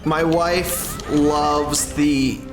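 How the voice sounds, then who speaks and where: Halting, slow-talking man in an echoey room. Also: on the high side, steady tone. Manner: rambling, halting, with drawn-out pauses and self-corrections. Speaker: livestreamer and content creator. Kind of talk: casual livestream chat, answering a viewer's question. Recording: roomy echo with music underneath. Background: music.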